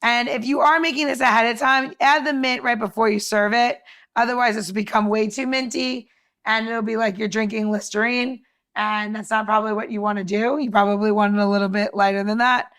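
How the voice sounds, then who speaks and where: A woman's voice: fairly high pitch, steady tone, a little fast, fairly bright tone, clear, resonant tone. A woman podcast host talking casually and informally in an upbeat way, peppered with "like" and "you know". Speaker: podcast co-host presenting cocktail recipes. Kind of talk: casual chatty recipe walkthrough.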